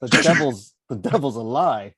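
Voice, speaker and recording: A man's voice: mid-range voice, animated, moderate pace, slightly rough, dry recording. Man acting belligerent street tough, dry close sound. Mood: taunting, defiant. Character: belligerent, taunting men: street brawler and threatening police officer. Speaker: actor at a virtual table read. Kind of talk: script table read, dramatic dialogue. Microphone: home microphone on a video call.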